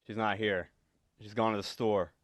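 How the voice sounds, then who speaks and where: A man's voice: fairly low pitch, level tone, moderate pace, dry recording, clean studio sound, natural voice. A man acting a nervous, secretive husband with menace under his evasive stammer, in 1940s radio suspense style. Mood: evasive, uneasy. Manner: stammering, evasive, repeats words.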